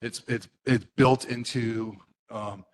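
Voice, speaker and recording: A middle-aged man from the US mainland, a neighborhood board member, speaking at a public meeting in a halting way with many fillers and restarts. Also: mid-range voice, steady tone, a little hiss or hum.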